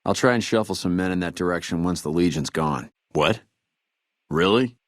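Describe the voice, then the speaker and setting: Deep-voiced, weary army trooper, played by a man. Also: normal speed, no echo, studio quality, close to an everyday voice. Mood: amazed. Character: weary, dutiful army trooper guarding a ruined camp. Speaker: video game voice actor. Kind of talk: video game dialogue acting.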